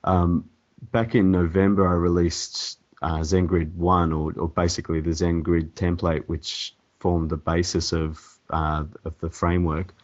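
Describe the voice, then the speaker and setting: A man with a cold and a croaky, hoarse voice, answering interview questions hesitantly with many ums and restarts. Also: low-pitched, flat delivery, measured pace. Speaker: template developer guest. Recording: dull lo-fi audio.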